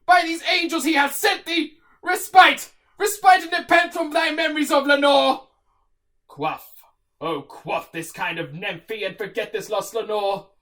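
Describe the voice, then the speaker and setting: A man playing a grieving, haunted gentleman in a gothic poem recital, speaking in a loud, fervent, declamatory way. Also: very high-pitched, measured pace, recorded from a distance, voice pushed up, full character voice. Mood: anguished outburst.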